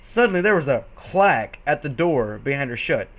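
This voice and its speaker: A man's voice: medium pitch, sing-song, normal speed, a bit bright. A man narrating fan fiction as a comic dramatic reading, amused and often stumbling and correcting himself. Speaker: reader.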